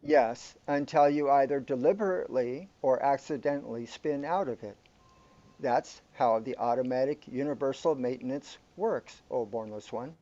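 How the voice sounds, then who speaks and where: An actor playing a calm, all-knowing guide in a surreal sci-fi radio drama, explaining things in a formal, matter-of-fact way. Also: male voice, mid-range voice, normal speed, highs cut off, natural voice. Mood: calmly explaining.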